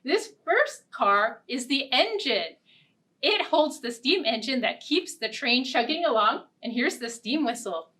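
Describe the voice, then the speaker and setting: A young woman hosting a storytime for small children, speaking in simple, deliberate phrases. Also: sits high, lively intonation, sharp tone. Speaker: children's library storytime host. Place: indoor storytime set. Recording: distant mic, ukulele music. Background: ukulele strumming.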